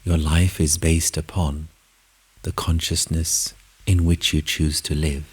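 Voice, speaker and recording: Very deep, husky man guiding meditation slowly. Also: flat delivery. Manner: measured, deliberate, with long pauses between short phrases. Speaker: meditation guide. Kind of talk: guided meditation narration.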